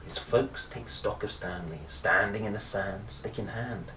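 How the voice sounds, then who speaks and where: A man reading a children's picture book aloud in a playful, expressive manner, with chatty asides. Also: fairly low pitch. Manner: playful, expressive, dramatic pauses and exclamations. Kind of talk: animated storybook read-aloud with chatty asides. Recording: roomy echo, faint background noise.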